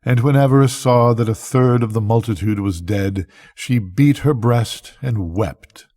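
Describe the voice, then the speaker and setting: An older man reading a bedtime story as a grandfather, with measured, deliberate phrasing and pauses. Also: medium pitch, darkish tone, no echo, clean studio sound.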